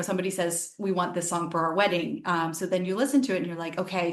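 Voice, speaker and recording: A woman's voice: on the low side, echoey room. A woman harpist and singer from the United States, answering interview questions in a chatty way, thinking aloud with many ums and restarts. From Northern Virginia, USA.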